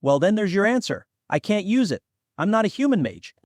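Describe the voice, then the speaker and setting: Cautious young demigod, voiced by a man: earnest, easily offended, fairly high male voice, fantasy audiobook. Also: big pitch swings, brisk, a little mellow, no echo, studio quality. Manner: earnest, protesting. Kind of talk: fantasy audiobook character acting.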